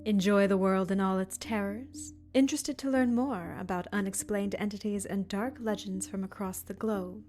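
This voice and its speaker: Woman, podcast host, scripted trailer read, mid-range pitch. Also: fairly even intonation, normal speed, few pauses, darkish tone, faint music behind.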